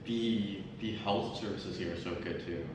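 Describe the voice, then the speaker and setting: A young man hosting a relaxed podcast, with a fairly low voice and an easy way of drawing out his guest. He sounds distant from the mic in a heavily echoing room. Clear noise sits behind him. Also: a little slow. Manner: easygoing, prompting questions, filler restarts. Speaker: podcast host. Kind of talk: casual chat. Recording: echoey room, far from mic, noisy.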